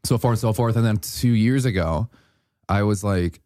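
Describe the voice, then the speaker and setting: Wisconsin guy rambling on a podcast, deadpan jokes, dry close mic. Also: lowish voice, moderate pace, no room sound. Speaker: comedian guest. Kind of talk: casual podcast banter.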